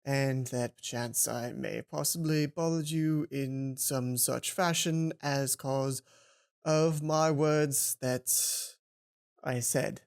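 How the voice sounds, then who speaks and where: A let's play host voicing a haughty, awkwardly formal schoolboy from a visual novel, halting and drawn out. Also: medium pitch, fairly even intonation, vocal fry, a little mellow, voice pushed down. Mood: grudging, stilted.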